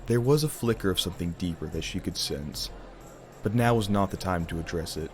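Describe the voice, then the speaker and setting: Male narrator for fantasy audio drama: very low voice, theatrical, deliberate storytelling over music. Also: darkish tone.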